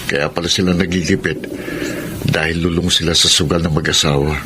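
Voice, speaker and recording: Sober, cautioning friend for radio drama, male actor; deep voice, earnest concern, clearly noisy backdrop. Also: fairly even intonation, fairly quick, close to an everyday voice. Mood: troubled. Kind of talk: Filipino radio drama acting.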